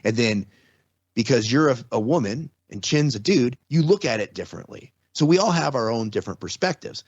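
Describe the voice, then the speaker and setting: A man's voice: mid-range voice, expressive, a little fast, dry recording. A man in his forties or fifties, a podcast guest, explaining ideas earnestly in casual talk with many restarts. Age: 40s or 50s. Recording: slightly dull lo-fi audio.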